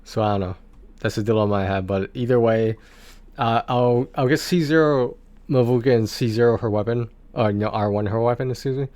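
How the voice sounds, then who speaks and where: A man chatting casually on a game livestream, talking in a rambling, halting way and often repeating his words. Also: medium pitch, darkish tone, no echo.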